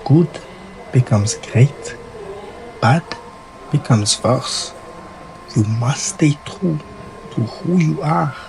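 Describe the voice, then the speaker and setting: A man playing a wise, patient scientist in an audio drama, a grave, fatherly mentor with a deliberate manner. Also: medium pitch, lively intonation, creaky, background noise, exaggerated voice. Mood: solemn. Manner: solemn, deliberate.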